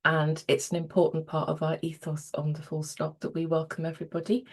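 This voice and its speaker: A woman co-hosting a podcast with a slightly croaky voice, talking in a rambling, friendly way with fillers. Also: deep voice, darkish tone. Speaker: podcast co-host.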